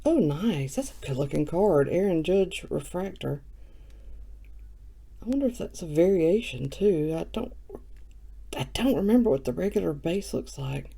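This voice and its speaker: A woman who has just woken up, with a low morning voice, chatting casually while opening trading cards on camera. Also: lively intonation, normal speed, creaky, dark tone, husky. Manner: chatty and self-mocking, reading off card names. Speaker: card breaker. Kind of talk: casual card-opening vlog.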